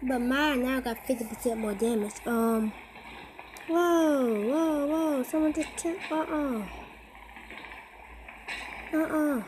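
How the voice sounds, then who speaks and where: A teenager giving casual, excitable commentary while playing a mobile game, repeating short phrases and calling out to other players. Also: high-pitched, slow, long pauses, noisy background. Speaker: gamer. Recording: game music loud behind voice.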